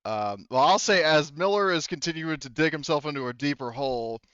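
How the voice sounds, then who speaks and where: Clear-voiced man game mastering, slightly dull audio. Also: a little high, lively intonation, a little fast, fairly bright tone, dry recording. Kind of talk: casual improvised tabletop game narration. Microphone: close headset.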